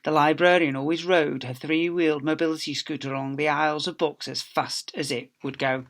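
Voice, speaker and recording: A man's voice: fairly high pitch, steady tone, fairly quick, fairly bright tone. A man, a teacher reading aloud, tells a children's story expressively, with theatrical emphasis and phrases that drop away at the end.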